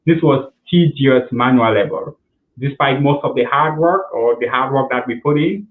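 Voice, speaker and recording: A young man from Cameroon, telling his story as a guest over a video call, earnest, with many fillers and restarts. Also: mid-range voice. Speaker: guest, nonprofit founder. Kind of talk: storytelling interview answer. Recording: echoey room over a tinny line.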